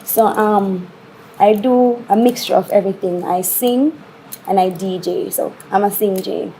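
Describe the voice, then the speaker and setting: A woman's voice: medium pitch, measured pace, dark tone. A woman who works as a dancehall singjay, talking casually about herself as an interview guest. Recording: clearly noisy background ambience.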